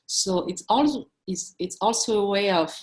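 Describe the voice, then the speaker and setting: A woman's voice: mid-range voice, moderate pace, rough recording. A woman from Cameroon, speaking thoughtfully as a curator on a video-call panel and often restarting her words.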